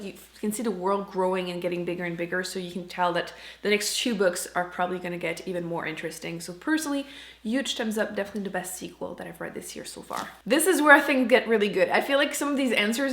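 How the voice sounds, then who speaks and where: A woman hosts a book review vlog in a casual, chatty way. Her voice sits at an average pitch and she leans on key words for emphasis. The recording has a clear roomy echo around her. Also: a bit bright. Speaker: book reviewer and channel host.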